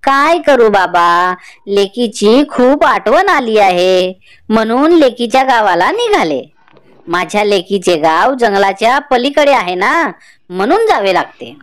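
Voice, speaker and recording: A woman voicing a kindly, shrewd old village grandmother in a cartoon folk tale, speaking in a drawn-out, coaxing way. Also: medium pitch, big pitch swings, dry recording. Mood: gentle, polite. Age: older.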